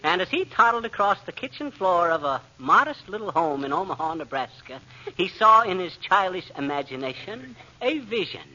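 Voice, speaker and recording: An actor playing a folksy, boastful, wisecracking husband in excitable 1940s radio comedy style. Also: male voice, sits high, normal speed, heavily acted. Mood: mock grand. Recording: muffled vintage radio, faint noise.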